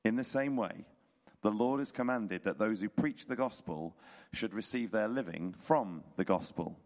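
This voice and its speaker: Hoarse man reading scripture in a hall. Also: on the low side, a little mellow. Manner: casual intro, then measured rhetorical reading. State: hoarse, croaky voice. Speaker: church leader reading scripture. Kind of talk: scripture reading at a church service. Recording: reverberant hall.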